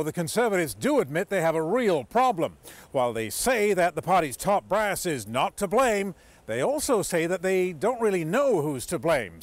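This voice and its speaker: A man's voice: a little high, dry recording. A man delivering a scripted news report as a political correspondent.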